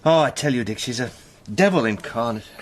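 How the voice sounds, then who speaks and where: An actor playing an earnest, impassioned British gentleman spy with a literary turn of speech in a radio drama. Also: medium pitch, lively intonation, fairly quick, slight background noise, natural voice. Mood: intense, awed. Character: Sandy, fervent eloquent British gentleman spy.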